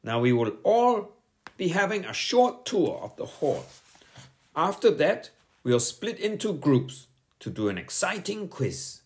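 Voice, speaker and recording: A man's voice: on the high side, sing-song, measured pace, a little mellow, voice pushed up. A man voicing a stern, bossy woman schoolteacher who snaps and thunders her orders. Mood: stern, scolding. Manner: snapping, thundering commands.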